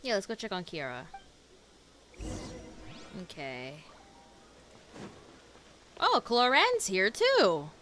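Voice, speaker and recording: A woman's voice: medium pitch, huge pitch swings, slow, pauses often, very noisy. A woman game streamer chatting casually as she reacts to a playthrough. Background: game music.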